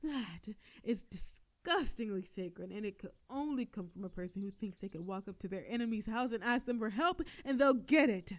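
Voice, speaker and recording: A woman playing a proud, sardonic female telepathic villain with a hidden soft side, in a theatrical scripted audio drama. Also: mid-range voice, expressive, normal speed, hardly any pauses, dry recording, lightly acted. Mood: defensive, flustered. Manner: theatrical, indignant then soothing.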